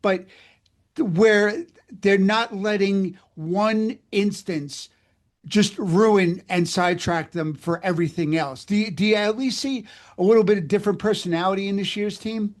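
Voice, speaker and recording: A man's voice: sits high, steady tone, moderate pace. A man interviewing a guest about sports, conversational and wordy, circling back on his phrases as he builds a question.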